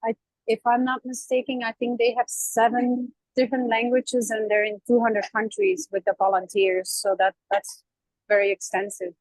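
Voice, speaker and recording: A woman's voice: fairly high pitch, little pitch movement, normal speed, darkish tone, no echo, rough recording. A woman from Belgian Flanders adding to an online group discussion in a polite, tentative, casual way. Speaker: event participant.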